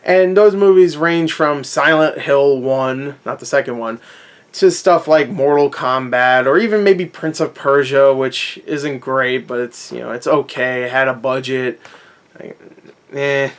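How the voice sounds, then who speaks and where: A man's voice: mid-range voice, unhurried, clear, resonant tone. A man giving a casual, unscripted movie rant as an online host, conversational and opinionated, with emphatic repetition. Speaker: YouTube movie reviewer and host.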